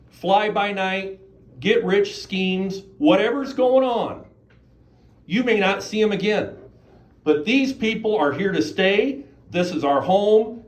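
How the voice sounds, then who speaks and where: A man's voice: high voice, poor audio. A man speaking emphatically at a county meeting, using rhetorical questions. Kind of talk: public comment at a meeting.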